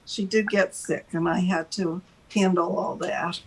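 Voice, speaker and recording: An older woman telling an anecdote casually, in a deliberate and emphatic manner, as a talk show host. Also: lowish voice, steady tone, breathy edge, low-quality recording. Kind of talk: casual storytelling.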